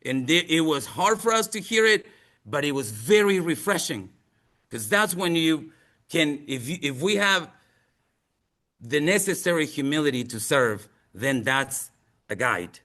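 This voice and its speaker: A man from Chile with a Chilean accent, giving a presentation in English as a non-native speaker in an earnest, emphatic and deliberate manner. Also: a little high, lively intonation, sharp tone. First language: Spanish. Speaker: museum outreach presenter. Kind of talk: presentation to an audience with slides.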